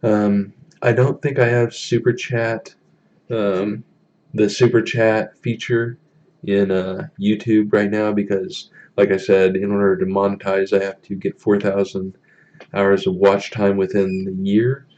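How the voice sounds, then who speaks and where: Young man with a deep, flat voice. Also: dull audio. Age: young adult. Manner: halting, many ums and uhs, reading chat aloud. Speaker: YouTuber live streaming. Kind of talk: casual live stream chat. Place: small room indoors.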